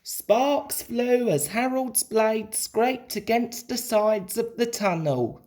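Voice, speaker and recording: A woman reading a children's storybook aloud in an expressive, deliberate way. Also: on the high side. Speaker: storybook reader.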